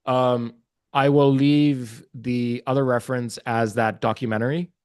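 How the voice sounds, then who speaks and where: A man's voice: medium pitch, steady tone, a little slow, dry recording, studio quality. A man from Pakistan whose first language is Urdu, a podcast guest talking casually and earnestly, with many fillers and restarts. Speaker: guest, watch market research business developer. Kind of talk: casual interview chat.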